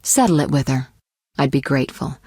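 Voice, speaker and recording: A woman playing a poised, worldly young sorceress in a video game, caring but teasing and possessive, with measured, articulate delivery. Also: a bass voice, animated, a little mellow, slightly rough, no echo, clean studio sound, full character voice. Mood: serious, instructive. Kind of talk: scripted video game voice acting.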